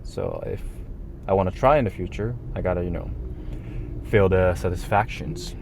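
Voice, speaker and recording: A man's voice: deep voice, normal speed, gravelly, background noise. A man whose first language is Japanese rambles casually to his vlog audience in slangy, non-native English. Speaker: vlogger.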